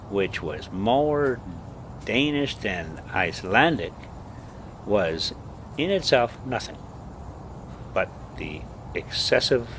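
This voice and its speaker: A man reading a story aloud as an amateur host, halting and stumbling over words now and then, with casual delivery. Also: deep voice, fairly bright tone, slight background noise. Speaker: host reading stories aloud for a pet video channel.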